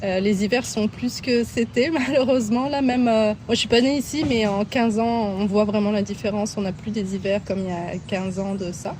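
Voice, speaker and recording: A woman's voice: medium pitch, fairly even intonation, moderate pace, few pauses, a little mellow. Woman, thoughtful and hesitant. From France. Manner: thoughtful, hesitant, laughs often. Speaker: interviewee, passer-by. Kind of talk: casual street interview. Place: outdoor city park. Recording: outdoor park noise, traffic.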